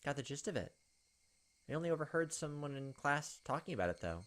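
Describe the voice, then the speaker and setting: A man's voice: fairly high pitch, big pitch swings, brisk, a bit bright. A young man hosting a gaming video, reading a visual novel aloud with casual asides.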